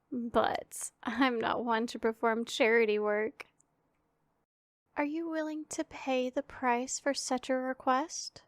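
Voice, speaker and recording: A woman performs a powerful demon lady in an intimate ASMR roleplay. Her voice sits fairly high with gravelly fry, recorded very dry. She is imperious and teasing, choosing formal words deliberately, with care hidden under the menace. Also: no room sound. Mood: solemn, bargaining. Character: powerful female demon, haughty, teasing, secretly caring.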